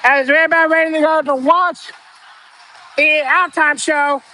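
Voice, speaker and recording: Very high-voiced man shouting hoarse play-by-play. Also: measured pace. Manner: excited, shouting the calls. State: strained, losing his voice. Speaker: amateur play-by-play announcer. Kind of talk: live basketball play-by-play commentary. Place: indoor school gym. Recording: noisy gym with ball bounces.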